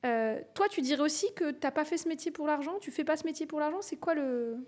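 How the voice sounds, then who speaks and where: A woman's voice: high voice, lively intonation, a little fast, darkish tone. A woman who is an executive coach, hosting a casual podcast interview, chatty and thinking aloud as she builds her questions. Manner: conversational, frequent listening murmurs, thinks aloud.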